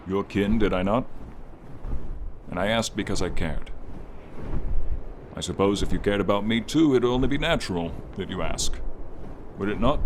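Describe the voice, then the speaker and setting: A friendly, protective dragon voiced by a man speaks in a lowish register. The delivery is slow, formal and tender, as in an intimate fantasy audio role play. A noticeable bed of rainy ambience sits behind the voice. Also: close to an everyday voice. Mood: tender, reassuring. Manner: slow, formal, tender and teasing address to listener. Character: friendly silver dragon, male, gentle protective old guardian. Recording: clear background ambience noise, light rain effect. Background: light rain and flying ambience.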